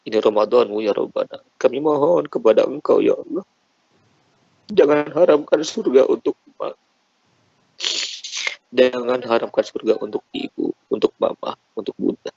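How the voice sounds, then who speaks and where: A man's voice: fairly low pitch, gravelly, darkish tone, husky, no room sound, muffled recording. A man leading a congregation in an emotional prayer, pleading fervently with repeated invocations. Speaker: prayer leader.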